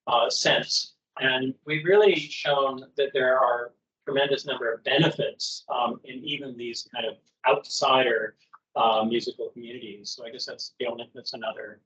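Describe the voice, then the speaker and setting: A male professor answering audience questions in a relaxed academic discussion, hesitant and full of fillers. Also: mid-range voice, moderate pace. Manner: hesitant, searching, many fillers. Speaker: presenting professor. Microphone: video call. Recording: dull lo-fi video call audio.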